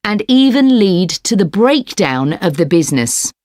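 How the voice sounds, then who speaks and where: A woman delivering a scripted academic lecture in a measured, articulate way. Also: medium pitch, very animated, darkish tone, no room sound, studio quality. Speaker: lecturer in a listening test recording.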